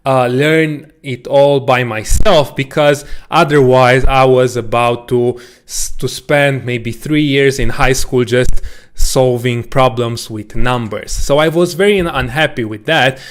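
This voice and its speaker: A man whose first language is Romanian, telling a casual personal story to camera as a coding educator, with a relaxed, self-deprecating manner. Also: mid-range voice, a little slow, clear, resonant tone. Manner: relaxed, self-deprecating, frequent restarts and small laughs.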